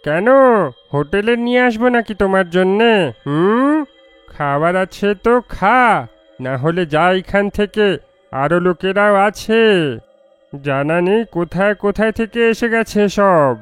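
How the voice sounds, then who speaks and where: A woman voicing a mean, dishonest old-age-home cook in a story, loud and blunt. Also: mid-range voice, expressive, slow pace, no echo, soft background music. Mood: scornful.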